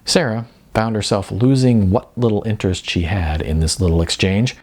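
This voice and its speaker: A man narrating a story in an expressive storytelling style. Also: fairly low pitch, moderate pace.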